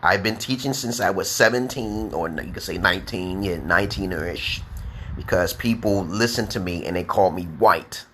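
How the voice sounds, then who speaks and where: Bright-voiced New York man teaching slowly. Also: low-pitched, slight background noise. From United States. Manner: drawn-out, emphatic, repeats letters and "okay?". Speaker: English teacher. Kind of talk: slow deliberate live-stream lesson.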